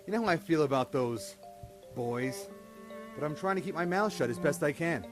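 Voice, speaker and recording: A man's voice: fairly high pitch, lively intonation, moderate pace, over music, lightly acted. A man playing a stern, controlling reverend father who scolds his teenage daughter, in an amateur audio drama. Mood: scolding. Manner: stern, scolding, rising to shouting.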